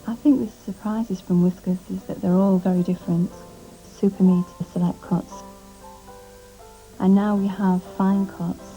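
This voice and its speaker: Very mellow female advert testimonial. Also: mid-range voice, rough recording. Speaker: cat owner in advert. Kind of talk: testimonial in a pet food commercial.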